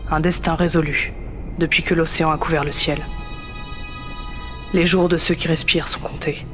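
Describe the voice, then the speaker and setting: A man narrates a science-fiction audio drama over clear music. His voice is on the high side, and he speaks solemnly in clipped, scripted phrases.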